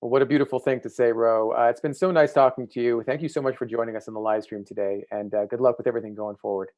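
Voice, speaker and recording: A man's voice: mid-range voice, steady tone, fast, darkish tone, no echo. An American man in his thirties hosting a casual livestream interview over a video call. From United States. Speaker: livestream host. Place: indoor room. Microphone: earbud headset on video call.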